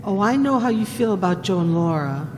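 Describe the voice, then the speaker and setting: A woman playing a concerned, gentle young lady assistant in an old-time radio drama. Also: medium pitch, expressive, fairly quick, natural voice. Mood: sympathetic. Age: young adult. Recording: echoey hall, clear background noise.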